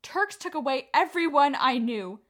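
A woman's voice: high voice, expressive, moderate pace, fairly bright tone, slight background noise. A woman acting in a radio play as a bitter, grieving young refugee, with an intense, declamatory delivery.

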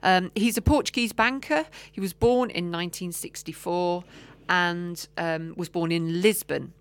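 A woman's voice: lowish voice, unhurried, clean tone. A woman co-hosting a podcast in casual chat, often trailing off with ums and restarts. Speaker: podcast co-host.

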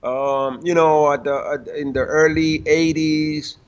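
A man in his fifties, born in the Dominican Republic and raised in Puerto Rico, talking as a car-builder guest in a casual interview, halting and full of restarts. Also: on the high side, steady tone, clear, resonant tone. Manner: halting, many restarts, laughs between stories. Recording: dull lo-fi sound.

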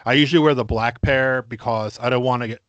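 A man's voice: medium pitch, fairly even intonation, a bit bright, dry recording, muffled recording. Brief: man, crude podcast co-host, boastful mock-macho drawl, dry close mic. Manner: crude, boastful mock-macho bits, slow emphatic delivery. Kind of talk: casual comedic podcast banter.

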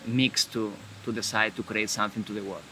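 A man from Galicia in Spain with a Spanish accent, talking in a casual conversation in halting English and often restarting his sentences. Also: lowish voice, level tone, pushed and bright, slight background noise, rough recording. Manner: halting, searching for words, frequent restarts.

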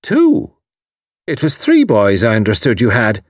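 A man's voice: medium pitch, huge pitch swings, measured pace, no room sound, clean studio sound. A man voicing a genial but overbearing older Irish woman in an audiobook, blunt, emphatic and insinuating. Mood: probing. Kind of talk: audiobook character voice.

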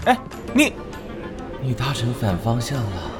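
An actor playing a sweet, attentive young male suitor in a radio drama, friendly and gently teasing, with a Taiwanese Mandarin accent. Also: medium pitch, wildly swooping pitch, measured pace, over loud music. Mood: helpful.

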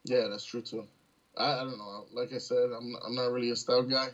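A man's voice: medium pitch, level tone, fairly quick. Easygoing man on dull lo-fi audio. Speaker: podcast co-host. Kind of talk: casual chat. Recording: dull, lo-fi voice channel.

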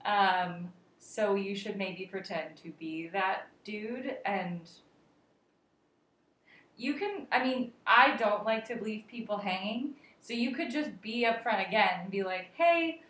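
Woman giving calm advice, off-mic. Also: mid-range voice, slow pace, far from the mic. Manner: calm, reasoning, gives advice. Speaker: podcast co-host. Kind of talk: casual advice chat. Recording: echoey room, a bit off-mic.

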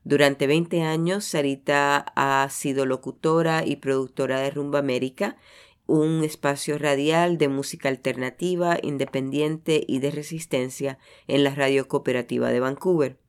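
A radio host introduces the guest in a crisp announcer manner. The voice is smooth and resonant. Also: medium pitch, fairly even intonation, moderate pace.

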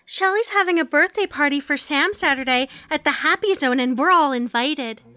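A woman reads a matter-of-fact, teasing sitcom wife in an amateur table read. Her voice is very high and bright, recorded dry and close. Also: moderate pace, no echo. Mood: breezy.

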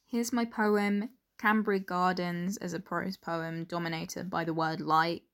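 A woman's voice: fairly low pitch, flat delivery, unhurried, a bit bright. A young woman from England introducing and reading her own poem. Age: young adult. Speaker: poet.

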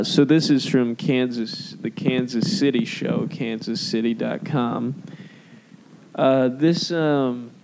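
A man's voice: medium pitch, a little mellow, slight background noise, rough recording. A man co-hosting a casual podcast chat. He is losing his voice, laughs often, and reads review quotes aloud. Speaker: podcast co-host.

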